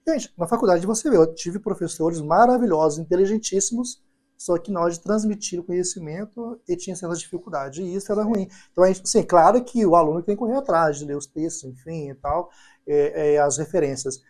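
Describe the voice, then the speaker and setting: A man's voice: high voice, brisk, a little mellow. A young man speaking as a psychologist guest on a podcast, affirming and telling stories. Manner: affirming, storytelling, frequent "né" and "perfeito". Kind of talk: podcast interview chat.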